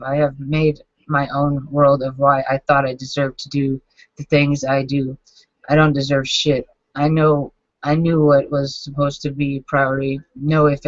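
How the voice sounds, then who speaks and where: A man in his forties reading his own journal entries aloud, halting and self-critical, with frequent restarts. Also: a little high, dry recording. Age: 40s. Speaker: vlogger. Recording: dull lo-fi dry recording.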